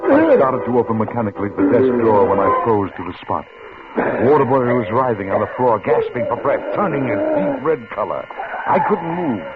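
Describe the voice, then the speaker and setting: An actor playing a timid middle-aged clerk who has become a killer, narrating and stammering nervously in a 1950s radio drama. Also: male voice, mid-range voice, wildly swooping pitch, a little fast. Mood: brooding. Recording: tinny old radio broadcast with music.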